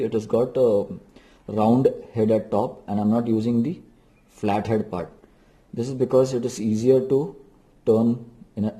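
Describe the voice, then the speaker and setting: Dark, mellow-voiced man explaining. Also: lowish voice. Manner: explains step by step, repeats instructions for emphasis. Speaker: DIY vlogger. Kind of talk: unscripted hands-on tutorial narration.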